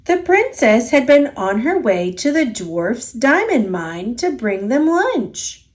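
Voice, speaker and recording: Slow, animated woman reading a storybook. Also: on the high side. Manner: expressive, deliberate storytelling with drawn-out key words. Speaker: storyteller reading a picture book aloud. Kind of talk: children's story read-aloud, scripted narration.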